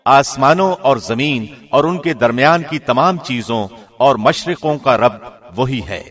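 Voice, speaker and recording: A man reading a scripture translation formally, in the measured, solemn declamation of a radio presenter. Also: medium pitch, lively intonation, fairly bright tone.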